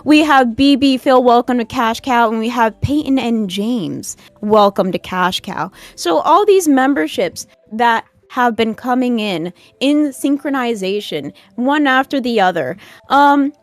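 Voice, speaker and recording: A woman with a somewhat high voice co-hosts a casual livestream in an upbeat, teasing way. The sound is dry and close, with no room echo. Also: animated, unhurried, hardly any pauses. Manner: upbeat, teasing, reads out member names. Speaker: co-host.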